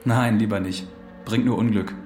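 Man playing a calm cultured convict, really echoey room, radio drama. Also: lowish voice, moderate pace, natural voice. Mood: calm, reserved. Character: calm, cultivated male long-term prison inmate. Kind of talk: naturalistic radio drama acting. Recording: very echoey cell-like room, faint music.

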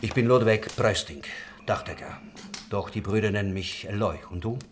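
A man's voice: on the low side, normal speed, background noise, lightly acted. An actor playing a worldly, idealistic craftsman who leads a religious commune, musing and talkative, in a German historical radio drama. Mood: genial, knowing.

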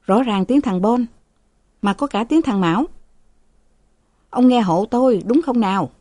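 A woman's voice: on the high side, unhurried, mellow, no room sound, clean studio sound, voice pushed up. A woman reading aloud, voicing a bedridden old father who cries out in strained, wailing tones. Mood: angry. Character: sick old man, bedridden father, bitter and shrill.

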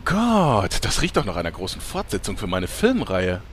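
An actor playing an excitable, self-serving man in a parody fantasy audio drama, exclaiming as he talks. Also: fairly low pitch, moderate pace, slight background noise. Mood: enthusiastic.

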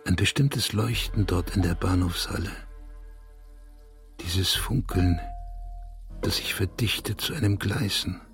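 A man's voice: low voice, measured pace, gravelly, pauses often, soft background music. An actor playing an obsessive, bitter and needy male writer in a radio drama, brooding and provocative in manner. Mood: dreamy, brooding. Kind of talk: radio drama acting and literary monologue.

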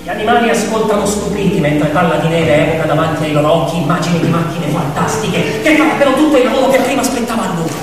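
An actor playing a grave, dramatic male narrator of a farm fable, speaking in deliberate, emphatic phrases as in radio theatre. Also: sits high, lively intonation. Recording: very echoey hall, distant, music. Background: music.

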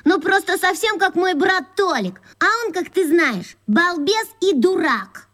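A woman's voice: extremely high pitch, animated, unhurried, fairly bright tone, heavily acted. An actress playing a chatty, bossy young schoolgirl in a children's radio play, pert and know-it-all.

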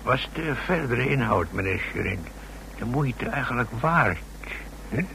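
An actor playing a shrewd, folksy safecracker in a radio drama, with a gravelly voice and a halting, colloquial, deferential way of talking. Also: male voice, medium pitch, lively intonation, sharp tone, heavily acted. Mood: puzzled, dawning insight. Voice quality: gravelly, creaky voice. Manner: halting, colloquial, full of tag questions. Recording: noisy old radio drama recording.

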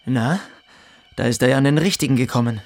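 An actor playing a menacing, sneering, bullying boarding-school pupil in a radio drama. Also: male voice, medium pitch, fairly quick, a little mellow, dry recording, natural voice. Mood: mocking. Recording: music behind the voice.

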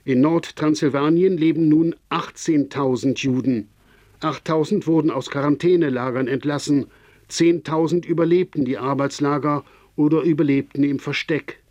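A man reading a scripted radio documentary narration in a solemn, measured manner. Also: on the high side, steady tone, darkish tone, highs cut off, lightly acted.

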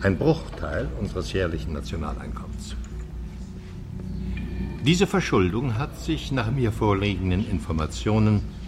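A man's voice: mid-range voice, noisy background. An actor playing an older male economics professor in a radio drama, lecturing with courtly irony and rhetorical flourish. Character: charming, sardonic old male economics professor and dissident.

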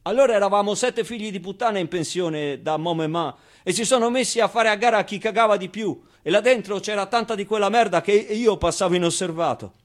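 Theatre monologue: male actor as wry, tender orphan boy, higher-pitched voice, confiding and conversational. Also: a little fast, hardly any pauses, lightly acted. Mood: animated, confiding. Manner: conversational, hesitant interjections, emphatic repetitions. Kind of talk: theatrical monologue, intimate storytelling.

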